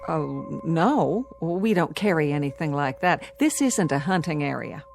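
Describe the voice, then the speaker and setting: An actress playing a kind, practical diner owner's wife and former nurse's aide in a radio drama, matter-of-fact and reassuring, with music behind. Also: female voice, low voice, animated, unhurried, creaky, a little mellow, full character voice.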